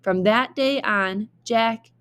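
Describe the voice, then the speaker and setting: A woman reading a children's story aloud like a teacher, with expressive, deliberate narration. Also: a little high, clear, resonant tone.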